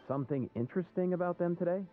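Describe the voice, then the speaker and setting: A man's voice: sits high, fast talker, phone-quality sound, lightly acted. An actor playing an easygoing, teasing, practical male friend in a video game, casual and wry. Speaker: game character. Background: music.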